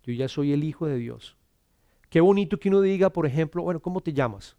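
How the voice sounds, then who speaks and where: A middle-aged man delivers a sermon in an earnest, personal way. His voice sits somewhat high for a man, and he often repeats words as he starts a thought. He sinks into a soft hush when quoting scripture, and the sound is dry and close. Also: expressive, fairly quick, no echo. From Colombia. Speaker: preacher. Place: church.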